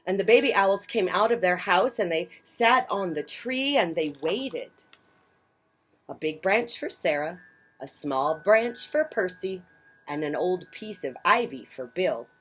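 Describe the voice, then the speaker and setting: A woman hosting a children's story time, reading picture books aloud in a theatrical, emphatic way with swells and hushes. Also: lowish voice, animated, a little slow, husky, poor audio. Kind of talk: expressive picture-book read-aloud. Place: outdoors on a porch.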